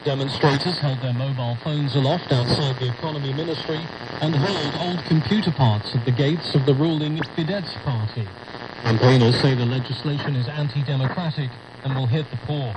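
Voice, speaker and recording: A man's voice: mid-range voice, a little fast. A man reading a scripted radio news bulletin as the newsreader. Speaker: news reader. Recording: very noisy shortwave radio broadcast.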